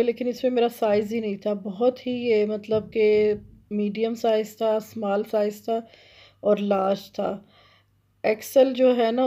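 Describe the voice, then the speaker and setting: Middle-aged woman vlogger, chatty and gushing, flat mellow voice, dull lo-fi phone audio. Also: mid-range voice. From Pakistan. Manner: chatty, gushing over items, many fillers. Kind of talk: casual shopping vlog narration. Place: large indoor clothing store. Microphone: handheld phone camera.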